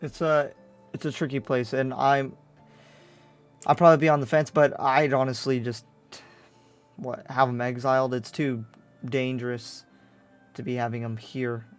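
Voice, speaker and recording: A man voices a pragmatic, sympathetic but wary demon slayer in an anime game roleplay. His pitch is middling and his talk casual and halting as he reasons things through. Soft music sits in the background. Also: level tone, clear, resonant tone, close to an everyday voice. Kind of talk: improvised roleplay dialogue.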